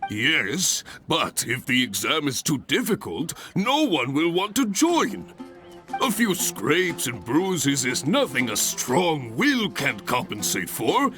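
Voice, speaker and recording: With music behind, a blustery guild master from a fantasy game. His pitch swoops dramatically and he takes his time, grumbling with theatrical emphasis. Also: huge pitch swings, slow, pushed and bright, heavily acted. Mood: frustrated, opinionated. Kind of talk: fantasy video game voice acting.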